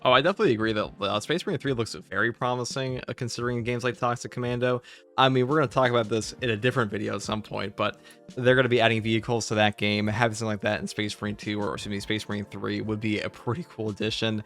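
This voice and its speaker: A man's voice: on the low side, steady tone, fast talker, fairly bright tone. A man hosting a casual gaming commentary discussion, speaking in a conversational, opinionated way. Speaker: video game channel host. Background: background music.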